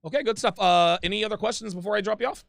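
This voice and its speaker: A man's voice: sits high, very fast, creaky, a bit bright, dry recording. A man hosting a live call-in advice show, talking casually, repeating himself and calling people "dude".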